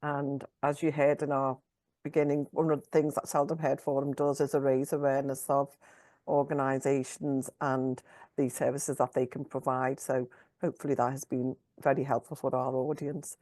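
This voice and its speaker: A woman hosting an online forum who thanks one speaker and introduces the next in a brisk, upbeat way. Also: rumbling low, little pitch movement, darkish tone, dry recording. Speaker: forum host.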